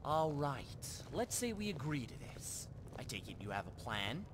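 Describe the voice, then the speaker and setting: A man playing a confident, talkative card-player adventurer in a fantasy video game, with a casual, expressive delivery. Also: moderate pace, natural voice. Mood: questioning. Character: chatty, confident male card-player adventurer, persuasive schemer. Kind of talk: scripted video game dialogue. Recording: clear rumbling background noise.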